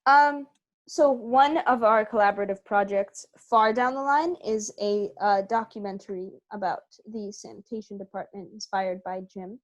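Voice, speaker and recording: A woman's voice: medium pitch, fairly even intonation, moderate pace. A woman speaking casually as a guest in an interview about her creative work. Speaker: interview guest, theater company co-founder.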